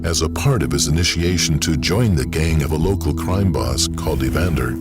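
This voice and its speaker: A man narrating a scripted dramatic story in a measured, wry storyteller's manner. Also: a bass voice, animated. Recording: loud music behind.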